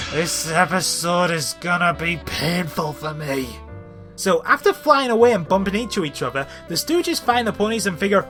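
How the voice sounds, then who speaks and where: Male video reviewer, bright and highly animated, sarcastic and exasperated in scripted rants.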